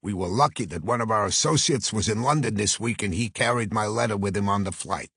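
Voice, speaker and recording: A man's voice: fairly low pitch, a little fast, husky, dry recording, studio quality. A man voicing an earnest, effusive New York talent agent with a Brooklyn accent in an audiobook. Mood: earnest, persuasive. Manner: effusive, flattering, salesman-like. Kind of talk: audiobook character voice.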